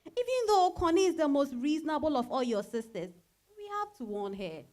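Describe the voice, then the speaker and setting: A high-voiced, outspoken mother in a dramatized reading, played by a woman. She speaks emphatically, with lively swings of pitch, as someone used to running a busy household. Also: a little fast. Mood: exasperated. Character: Opokuya, busy, outspoken married mother of four. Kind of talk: dramatized stage-style reading.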